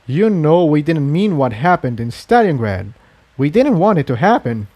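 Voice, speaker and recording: A man's voice: on the high side, moderate pace, no room sound, voice pushed up. A man voicing a level-headed male unicorn who reasons evenly as a peacemaker in a storybook reading. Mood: placating. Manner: even, reasoning.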